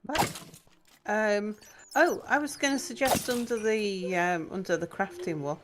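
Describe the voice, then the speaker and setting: A woman's voice: mid-range voice, unhurried, background noise. A woman chatting on a gaming livestream with friends, explaining things in a dry, mock-patient way. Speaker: co-player on a livestream. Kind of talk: casual gaming chat.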